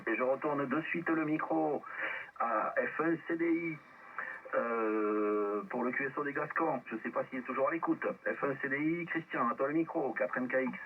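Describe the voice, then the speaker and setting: Male ham radio net controller, casual, medium pitch, distant muffled signal with clear static. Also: normal speed. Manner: spells out call signs. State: lingering cold, coughing. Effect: amateur radio. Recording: noisy, muffled, distant shortwave radio transmission.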